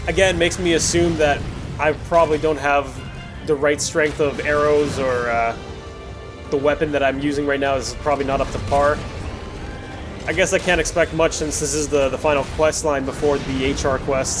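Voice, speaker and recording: Male gaming host, slightly high voice, chatty and reflective casual commentary with hesitant phrasing, music clearly behind. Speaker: host of a gameplay series. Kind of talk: casual post-recorded game commentary. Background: music under the voice.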